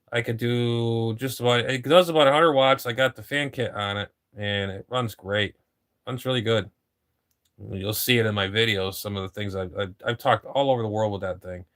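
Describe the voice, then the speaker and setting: Give me a man in his fifties chatting over ham radio, rambling, with a bright, forceful tone. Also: medium pitch. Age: 50s. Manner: rambling, swears casually, repeats words. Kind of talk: casual radio chat.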